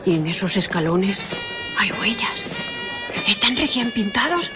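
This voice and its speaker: A woman's voice: mid-range voice, sing-song, a little slow, over music. A woman playing a curious, observant child in a radio play, speaking in an eager, insistent way. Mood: excited curiosity. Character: curious, observant street child spotting mysterious footprints. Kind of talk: radio drama acting.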